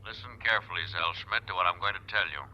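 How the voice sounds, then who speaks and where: An actor playing a menacing, curt crook making an anonymous, threatening call in a 1940s radio drama. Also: male voice, low voice, very fast, slight background noise, lightly acted. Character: menacing, commanding male anonymous crook on telephone. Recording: dull, lo-fi 1940s radio recording.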